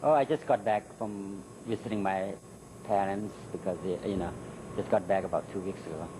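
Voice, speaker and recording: A middle-aged man with a Thai accent, Thai his first language, answering interview questions in halting English with many fillers. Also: sits low, fairly even intonation, background noise. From Thailand. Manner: halting, many "uh" and "you know" fillers, laughs between answers. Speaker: interview guest. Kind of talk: recorded interview.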